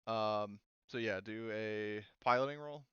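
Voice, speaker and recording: Guy game mastering a tabletop rpg, smooth voice, thinks out loud, dry but kinda muffled mic. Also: lowish voice, a little slow, no echo. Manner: hesitant, thinking aloud.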